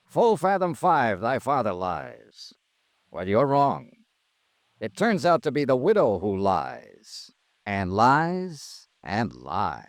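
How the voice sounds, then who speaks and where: An American actor playing a cool, accusing insurance investigator in a 1950s radio drama, with a clipped, deadpan delivery. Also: male voice, medium pitch, slow, no echo, exaggerated voice. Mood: dry, sardonic. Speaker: lead actor and narrator. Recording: vintage radio drama, dry, music behind.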